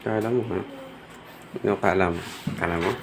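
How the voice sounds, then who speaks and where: Low-voiced Filipino man over background noise. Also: steady tone, fast, creaky. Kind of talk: casual work chat. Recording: noisy on-site recording.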